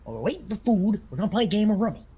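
Stammering country sheriff, high voice swooping all over, bumbling, game noise behind. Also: male voice, big pitch swings, racing, dark tone, husky, full character voice. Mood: sneering, smug. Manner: stammering, repeats words frantically. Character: excitable male country sheriff, bumbling and sneering. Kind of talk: improvised comedy roleplay in a video game.

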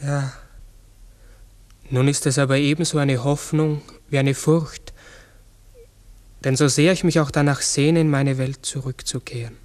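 An actor playing a gentle, melancholy man-sized talking raven in a 1960s radio drama, speaking earnestly, formally and reflectively. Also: mid-range voice, fairly even intonation, measured pace, pauses often, close to an everyday voice. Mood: sorrowful hope.

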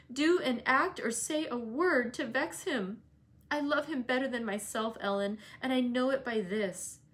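A woman reading the part of a spirited teenage girl, speaking high and emphatically with rising pleas. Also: fairly bright tone.

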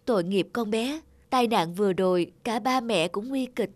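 A woman's voice: mid-range voice, normal speed, dry recording, natural voice. A woman voicing a kind, caring young nurse in a dubbed cartoon. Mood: gentle, caring. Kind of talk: cartoon dubbing.

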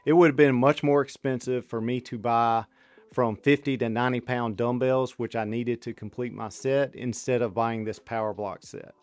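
A man's voice: medium pitch, normal speed, no room sound. Dry, matter-of-fact man reviewing gear. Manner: steady, matter-of-fact delivery. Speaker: fitness channel host. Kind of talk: scripted product review voice-over.

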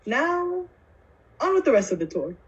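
A woman's voice: high voice, sing-song, moderate pace, highs cut off. A woman voicing an eccentric, brusque male candy maker in an amateur puppet show, theatrical and dismissive. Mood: brisk.

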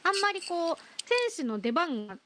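Very high, lively woman chatting casually. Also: normal speed. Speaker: radio show host. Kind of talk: casual chat on a game radio show.

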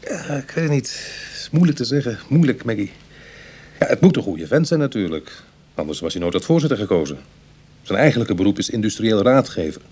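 A man playing a roguish, world-weary reformed burglar in a radio drama, speaking in a musing, colloquial way with a husky, gravelly voice. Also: fairly low pitch, sing-song, fairly quick, a little mellow. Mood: musing, conversational. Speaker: actor in a radio play. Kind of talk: Dutch radio drama acting, dialogue and confessional narration.

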